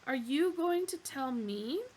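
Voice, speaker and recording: A woman voicing a flustered, squeamish young man who protests in a high, agitated way. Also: lively intonation, takes it slowly, darkish tone, slight background noise.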